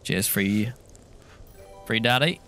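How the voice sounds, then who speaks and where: A man's voice: fairly low pitch, slow pace, sharp tone, faint music behind. A man from Britain co-hosting a game stream, chatting casually, thanking donors and singing little jingles. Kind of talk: casual chat while gaming. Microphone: gaming headset.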